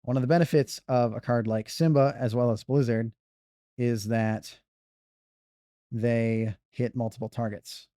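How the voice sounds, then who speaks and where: A man's voice: mid-range voice, steady tone, a little slow, dark tone, no echo, clean studio sound. Rambling male speedrun streamer. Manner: rambling, self-correcting banter. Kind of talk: casual gameplay commentary.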